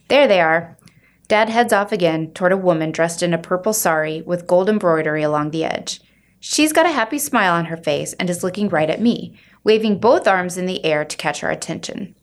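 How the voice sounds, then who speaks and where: A woman reading a children's book aloud as an expressive storyteller, acting out the dialogue. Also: fairly low pitch.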